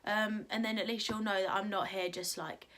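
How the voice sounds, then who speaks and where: A young woman vlogging at home with a croaky morning voice, chatty and rambling with lots of fillers, giving encouraging advice. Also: medium pitch, fairly even intonation, normal speed, fairly bright tone. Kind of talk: casual talk to camera.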